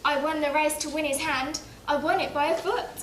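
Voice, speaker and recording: A woman's voice: high voice, fairly even intonation, fairly quick, lightly acted. A British woman playing a sweet young pantomime heroine, speaking in rhyme. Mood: happy. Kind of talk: brisk rhyming stage pantomime delivery. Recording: echoey stage, noisy amateur tape.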